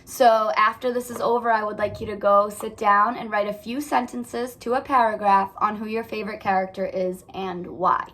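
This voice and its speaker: A woman's voice: medium pitch, steady tone, moderate pace, hardly any pauses, fairly bright tone. A woman teacher reading aloud and talking to young children in an instructive way, often putting questions to them. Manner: instructive, asks the listeners questions. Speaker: elementary school teacher. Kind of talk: children's read-aloud and lesson.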